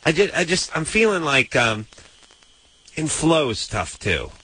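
A man's voice: fairly high pitch, very animated, measured pace, pushed and bright, slight background noise, rough recording. A young man co-hosting a late-night call-in radio show, joking and rambling, often breaking off and restarting mid-sentence, at a studio microphone. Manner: playful, rambling, self-interrupting. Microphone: studio microphone on stand.

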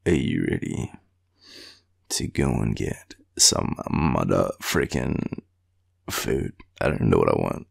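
A tired young Australian man rambling in an intimate boyfriend roleplay, with a husky, gravelly voice and a playful, teasing, halting manner. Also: very deep voice, lively intonation. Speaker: boyfriend roleplay audio performer.

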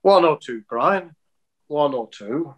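Formal, higher-pitched football official. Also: male voice, animated, slow, fairly bright tone, heavily acted. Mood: tactful, firm. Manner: formal, tactful, hesitant. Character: polite, exasperated county football official, older man, measured and formal. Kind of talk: filmed comedy acting on a video call. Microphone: video call.

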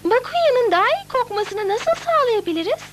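A woman voicing a plucky, clever little girl heroine in a Turkish-dubbed children's cartoon, cheeky, animated and determined. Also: very high voice, moderate pace, a little hiss or hum. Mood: eager.

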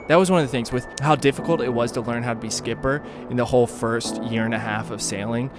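A young man, a sailing vlogger and skipper, narrating his voyage in a thoughtful, musing, matter-of-fact way. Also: mid-range voice. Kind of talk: reflective vlog narration. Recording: voice-over with loud music behind.

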